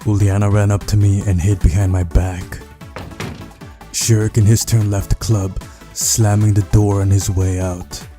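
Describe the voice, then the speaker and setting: A man reading a visual novel aloud as narrator, in a measured storytelling manner. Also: lowish voice, level tone, music in the background. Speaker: playthrough narrator.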